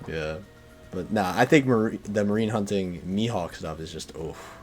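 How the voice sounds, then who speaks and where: A man's voice: low voice, unhurried, faint music behind. A man chatting casually on a podcast in a slangy, joking way. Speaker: podcast speaker discussing manga. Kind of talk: casual chat.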